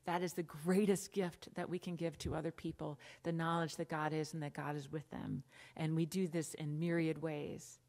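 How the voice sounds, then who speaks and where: Low-voiced American woman pastor preaching. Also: little pitch movement, moderate pace, hardly any pauses, darkish tone. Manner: conversational preaching with asides and emphatic lists. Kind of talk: sermon. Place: church sanctuary, at the pulpit.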